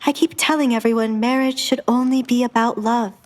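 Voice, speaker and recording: A woman's voice: fairly high pitch, steady tone, brisk, lightly acted. An audio drama priest, wary and well-meaning , acted out by a woman. He talks in a dry mutter with a reproachful edge, as an exasperated man of faith would. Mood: hushed, earnest.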